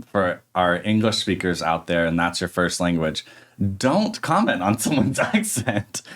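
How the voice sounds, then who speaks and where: A young man hosting a casual podcast, his voice sitting very low. He talks in a thoughtful, hesitant way, often restarting his sentences as he works out his thoughts. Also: fairly bright tone. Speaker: podcast host. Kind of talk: casual interview conversation.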